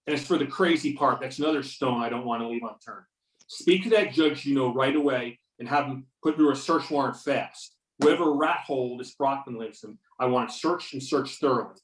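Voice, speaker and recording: A man's voice: medium pitch, a little fast, lightly acted. A man playing a determined investigator in readers theater, matter-of-fact and commanding, over a remote call. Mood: insistent. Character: Beckett, determined male investigator giving orders. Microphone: remote videoconference or phone call. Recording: roomy, distant mic on conference call.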